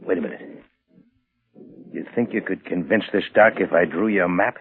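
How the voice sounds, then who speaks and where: An actor playing a tough, suspicious convict with gruff, slangy hoodlum talk in a 1940s radio crime drama. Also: male voice, low-pitched, moderate pace, a little hiss or hum. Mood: wary. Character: tough, suspicious gangster convict back from the dead. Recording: muffled, band-limited 1940s radio recording.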